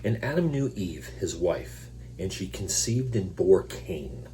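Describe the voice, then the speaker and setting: Low slow guy reading the bible w casual jokey asides, allergies, throat clearing, noisy humming recording. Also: lively intonation, warm tone. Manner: casual, self-deprecating asides between verses. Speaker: host reading scripture. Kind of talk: Bible reading with informal commentary. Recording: noisy recording with electrical hum.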